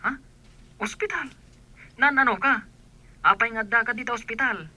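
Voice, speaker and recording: A woman's voice: on the high side, moderate pace, sharp tone. A woman playing a polite, earnest young male student in an Ilocano radio drama, with an Ilocano accent. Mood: surprised, reassuring. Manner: respectful, earnest. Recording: music under the voice.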